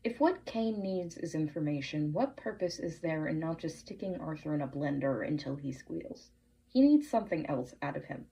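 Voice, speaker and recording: A woman giving prepared commentary as a podcast listener, measured and deliberate, posing pointed questions. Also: low-pitched, animated, vocal fry, mellow, low-quality recording. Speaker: listener sending in a voice message.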